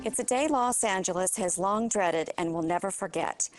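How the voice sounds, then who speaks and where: A woman with an American accent playing a serious TV news anchor in a video game, delivering a formal, measured disaster bulletin. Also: mid-range voice, loud music behind the voice, lightly acted. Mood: grave.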